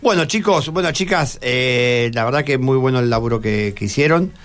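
A man with an Argentine accent hosting an informal radio conversation, speaking in halting phrases and repeating words. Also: mid-range voice, a bit bright. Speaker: radio host. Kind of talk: informal radio talk with students.

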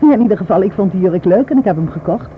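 A woman's voice: mid-range voice, expressive, rapid-fire, lightly acted. An actress playing a concerned, thoughtful woman in a radio play, talking in a reflective, conversational way. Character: concerned, thoughtful woman friend back from travels. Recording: noisy, tinny narrow-band radio play recording.

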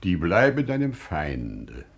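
An actor playing a wise, calm, dignified older merchant in classical radio drama, measured and sententious. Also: male voice, sits low, breathy edge, heavily acted. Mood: calm, guarded.

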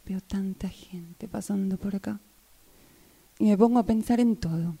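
A woman plays a weary worker in a radio monologue at a train stop. Her voice is very dark and husky, and she speaks slowly. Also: mid-range voice. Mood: weary, pensive. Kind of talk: amateur radio theatre monologue.

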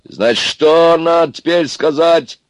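A man's voice: fairly high pitch, measured pace, no echo, voice pushed up, lightly acted. A man voicing a domineering, blustering middle-sized bully of an interrogator in a story, barking and lecturing insistently. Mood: commanding, insistent.

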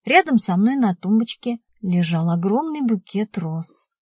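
A female audiobook narrator reads first-person fiction from a script. Her voice sits at a medium pitch for a woman, in a very dry, close recording. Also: animated, a little slow, a little mellow, husky, no echo.

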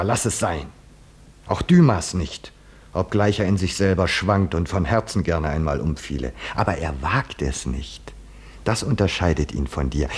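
An actor playing a stern, mocking mentor in a 1950s German radio play, husky and insistent in his admonishing. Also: low voice, darkish tone.

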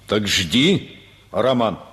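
Slow, stern father. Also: lowish voice, big pitch swings, fairly bright tone, husky, exaggerated voice. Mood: firm, calm. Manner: measured, admonishing. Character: Grigory Stepanovich, stern, steady, moralising father. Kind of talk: Soviet radio theatre acting. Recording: slightly noisy archival radio play.

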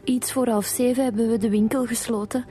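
Woman as a high, mellow, subdued Flemish daughter. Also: steady tone, brisk, faint music behind, close to an everyday voice. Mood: subdued, earnest. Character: bereaved young daughter, earnest and subdued. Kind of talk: naturalistic radio drama acting.

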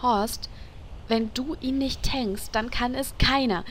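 A woman's voice: a little high, takes it slowly, a little hiss or hum. A woman playing an insistent gamer in a parody audio play, urgently coaxing and instructing a teammate. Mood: coaxing, dry. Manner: urgent, persuasive.

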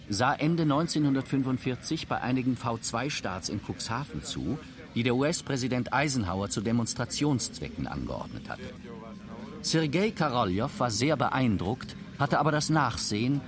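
A man reading scripted narration for a radio documentary. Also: medium pitch. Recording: clear background ambience noise.

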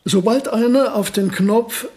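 An actor playing a famous elderly physicist in a radio drama, speaking in a measured, pedantic and earnest way. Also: male voice, high voice, darkish tone. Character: famous elderly male physicist, precise, proud, fussy.

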